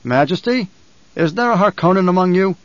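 A man's voice: on the high side, a little fast, no room sound, muffled recording, voice pushed up, close to an everyday voice. A man voicing a cold, defiant young nobleman in an audiobook, speaking in a controlled, declamatory way. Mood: challenging.

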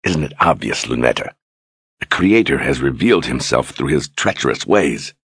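A pompous, menacing warlord speaks in a very low, husky growl with rustic grammar, as a male narrator plays him. The reading is dry and close. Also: lively intonation, moderate pace, fairly bright tone, dry recording, full character voice. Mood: fanatical. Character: pompous zealous male lord general, menacing.

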